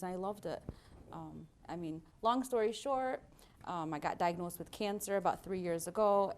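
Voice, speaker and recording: A woman in her forties, a guest on an interview show, talking earnestly with frequent fillers. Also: fairly low pitch. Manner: warm and earnest, with many fillers. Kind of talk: casual interview talk.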